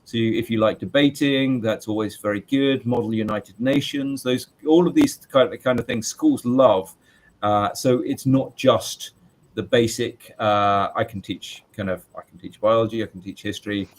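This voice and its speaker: Chatty middle-aged man with a loud voice. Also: lowish voice, moderate pace. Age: 40s. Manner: loud voice, chatty with many fillers and restarts. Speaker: teacher. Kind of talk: interview answers.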